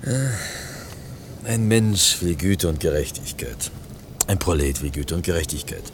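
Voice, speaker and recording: A man's voice: low voice, lively intonation, a little slow, a little hiss or hum. An actor playing a wry, talkative man from a film crew who needles his colleague in a radio play. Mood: dry, lecturing. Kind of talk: German radio drama acting.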